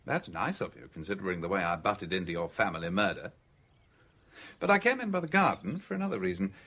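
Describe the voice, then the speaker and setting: Bright, breezy gentleman. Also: low voice, big pitch swings, fast talker, breathy edge, exaggerated voice. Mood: wry, brisk. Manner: jaunty, wry. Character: breezy, sardonic gentleman visitor, cleared of suspicion. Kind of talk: radio drama acting.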